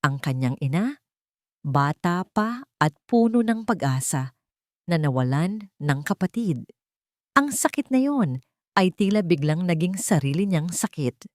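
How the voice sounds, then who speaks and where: A woman's voice: fairly low pitch, lively intonation, unhurried, pauses often, a little mellow, no echo, studio quality. A woman with a Filipino accent narrating a scripted dramatic story as an audiobook narrator.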